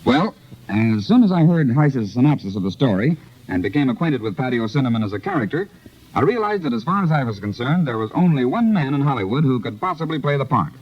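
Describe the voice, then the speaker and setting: A dull old 1930s radio recording of a man promoting a show. His medium-pitched voice is lively and varied, with formal, salesman-like, elaborate phrasing. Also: quick pace.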